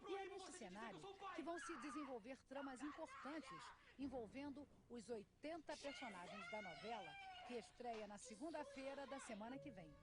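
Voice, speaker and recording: A woman's voice: high voice, very animated, normal speed. A Brazilian woman reporter reading a scripted television feature as a voice-over. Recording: voice-over under loud music.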